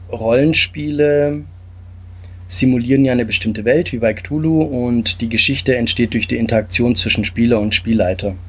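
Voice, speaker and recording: A man from Italy, a game designer and interview guest, calmly explaining his game with frequent filler sounds. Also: medium pitch.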